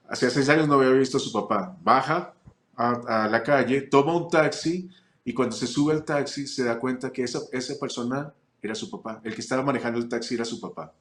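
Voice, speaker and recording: A man from Chile, a family constellations teacher, answering interview questions reflectively, with frequent pauses and restarts. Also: mid-range voice, reverberant. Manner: reflective, many fillers and restarts. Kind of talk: relaxed interview conversation.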